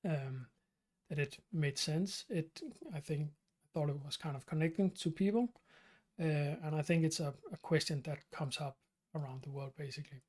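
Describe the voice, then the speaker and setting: Danish man, halting live-stream talk. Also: fairly high pitch, a little mellow. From Denmark. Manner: halting, many fillers and restarts. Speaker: channel host.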